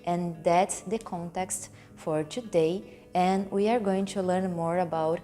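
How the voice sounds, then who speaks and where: A woman from Brazil, a non-native English speaker with a Brazilian Portuguese accent, hosting a relaxed English lesson and explaining words slowly and carefully. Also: fairly low pitch, faint music behind. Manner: slow and careful, stresses and repeats key words. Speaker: English teacher and channel host.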